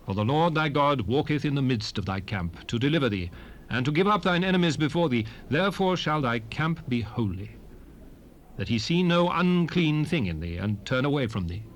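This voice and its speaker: A man playing a solemn lawgiver in a dramatized Bible recording, declaiming ancient commandments with deliberate, archaic diction. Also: mid-range voice, lively intonation, lightly acted. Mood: solemn, commanding. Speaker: narrator reading scripture. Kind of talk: dramatized King James Bible reading. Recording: hissy 1978 cassette rip.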